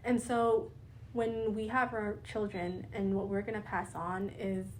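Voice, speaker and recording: A woman's voice: mid-range voice, level tone, a little slow, a little mellow, a little hiss or hum, rough recording. A young Canadian woman with Pakistani roots, talking casually and earnestly on a podcast, filling her speech with "like". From Pakistani family, raised in Canada. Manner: lots of "like" fillers, earnest, sympathetic. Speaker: podcast guest. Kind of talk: casual conversation.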